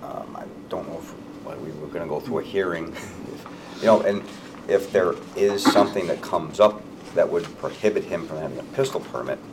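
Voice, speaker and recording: A very low male voice belongs to a board member. He speaks at a public hearing in a brisk, matter-of-fact way. Steady background noise sits under him on the meeting-room mic.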